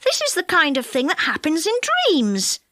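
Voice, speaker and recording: A woman's voice: extremely high pitch, wildly swooping pitch, normal speed, bright, forceful tone, no echo, studio quality, pitched above the performer's own voice, heavily acted. A woman voicing a curious young boy in a storybook. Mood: wondering.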